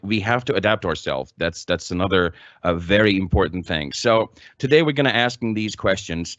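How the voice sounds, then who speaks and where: A man's voice: fairly low pitch, fairly bright tone, dry recording. A man giving a guest talk as a teacher trainer over a video call. He speaks casually, with loose phrasing, fillers and repeated words. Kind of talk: informal conference talk. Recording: dry, dull lo-fi call audio.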